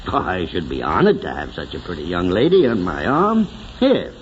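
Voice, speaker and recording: Elderly mayor, patriotic orator, sweeping intonation, slow solemn phrasing, 1940s radio drama, muffled hissy recording. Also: male voice, sits low, wildly swooping pitch. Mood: gruff, affable. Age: older. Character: elderly small-town mayor, sentimental, patriotic, grieving orator.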